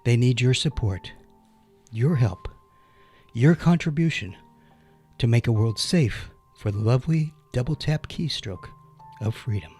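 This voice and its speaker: A man playing an earnest, grandiose pitchman making a pleading mock charity appeal in a scripted radio ad. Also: expressive, a little slow, pauses often, darkish tone, slightly rough. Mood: solemn pleading. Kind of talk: scripted parody fundraising ad. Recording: violin music underneath. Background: violin music.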